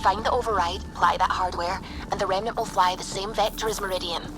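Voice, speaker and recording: An actress playing a brisk, plain-spoken expedition scientist in a video game, explaining in a focused way. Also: female voice, medium pitch, fairly even intonation, music in the background, close to an everyday voice, low-quality recording. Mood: confident briefing. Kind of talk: video game dramatic acting.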